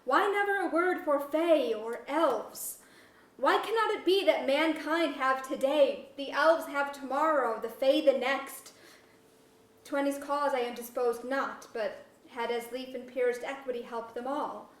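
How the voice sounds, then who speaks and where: Woman playing a high-voiced brooding magical royal, far off. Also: a little slow. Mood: anguished, questioning. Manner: grand archaic verse delivery, self-questioning. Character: Kirthwin, brooding genderless magical royal, neither man nor woman. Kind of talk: staged reading of a verse play. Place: museum auditorium. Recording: distant microphone in echoey auditorium.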